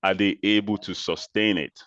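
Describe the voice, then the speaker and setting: A man from Nigeria, a guest in an interview, explaining his work in a measured way with pauses and restarts. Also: deep voice, fairly even intonation, fairly bright tone, no echo, lo-fi sound. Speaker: interview guest, social entrepreneur. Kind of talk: conversational interview answers.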